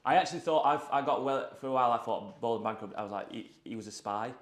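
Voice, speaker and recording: A man's voice: mid-range voice, quick pace, roomy sound. A young man, a YouTuber guest chatting casually and filling with lots of "like". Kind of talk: casual podcast chat.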